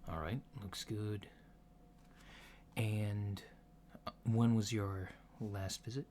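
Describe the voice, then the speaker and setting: A man playing a polite alien doctor in a soft-spoken ASMR roleplay, asking checkup questions in a hesitant, methodical, reassuring way, on a lo-fi recording. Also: fairly low pitch, little pitch movement, slow. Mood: calm, reassuring. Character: polite, unhurried human-looking alien doctor giving a checkup. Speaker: ASMR performer. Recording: lo-fi with clear background noise.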